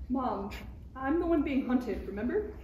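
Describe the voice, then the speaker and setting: A woman's voice: high-pitched, expressive, unhurried, lo-fi sound. A woman playing a chatty, nonchalant young senator talking as an exasperated daughter in a parody read-through. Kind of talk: live table read of a parody script. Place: room at a table read. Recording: roomy distant recording with clear noise.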